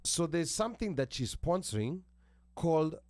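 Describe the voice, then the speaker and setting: A man with an American accent hosting a panel talk show, speaking haltingly with restarts and reading from notes. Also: on the high side, big pitch swings, darkish tone, no room sound. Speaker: host.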